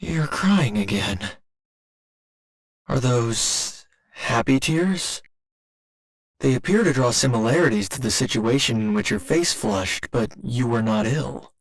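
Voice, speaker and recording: A man voicing a gentle robot boyfriend in an ASMR roleplay, with formal, clinical phrasing delivered tenderly and a little hesitantly. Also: mid-range voice, animated, takes it slowly, rough recording. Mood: tender, earnest. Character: gentle male robot boyfriend learning to feel love.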